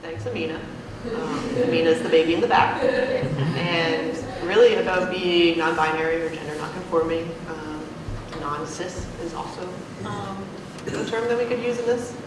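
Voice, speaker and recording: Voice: medium pitch, normal speed. A panel moderator asking open questions in a casual, friendly way. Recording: roomy, distant mic with loud noise.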